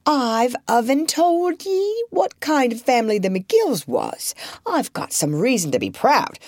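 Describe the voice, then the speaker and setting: A woman voicing a proud, bossy working-class mother who scolds her children in homely rustic speech, pitched high and emphatic. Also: slightly rough, dry recording, clean studio sound, exaggerated voice.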